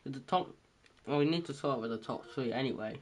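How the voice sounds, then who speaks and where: A man's voice: medium pitch, fairly quick. A man hosting a casual stream with a cold, talking in a rambling, unsure way with many restarts. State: has a cold, a bit congested. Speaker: stream host. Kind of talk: casual chat.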